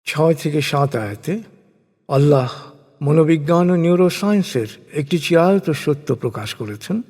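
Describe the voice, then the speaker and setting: Husky man narrating scripture in long reverb. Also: on the high side, expressive, moderate pace, a little mellow. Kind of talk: solemn scripted religious recitation of translated scripture and commentary.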